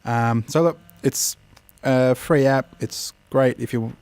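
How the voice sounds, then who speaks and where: An Australian man hosts a casual review in a middle-pitched voice. He talks through each point as he goes, a little hesitantly and in plain, explanatory terms. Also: fairly even intonation, takes it slowly, darkish tone, clear, resonant tone, no echo. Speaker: host of an app review show.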